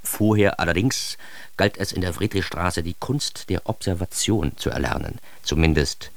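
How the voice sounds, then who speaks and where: An actor narrating a radio drama as a self-important, deadpan East German secret police officer. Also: male voice, sits low, normal speed, gravelly. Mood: deadpan, smug. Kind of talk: scripted first-person radio drama narration.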